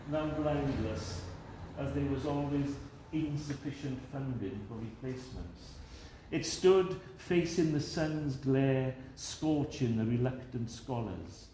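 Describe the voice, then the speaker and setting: A man reading his poetry outdoors in a measured, deliberate way. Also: mid-range voice, warm tone, very echoey, a little hiss or hum, poor audio. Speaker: poet. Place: outdoors outside a cafe.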